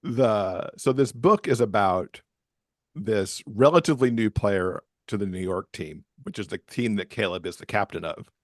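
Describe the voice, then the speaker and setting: A man's voice: fairly low pitch, lively intonation, moderate pace, no room sound, clean studio sound. An American man from Michigan speaking casually as an interview guest, explaining things in a chatty way. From Michigan, USA. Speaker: podcast guest, romance author.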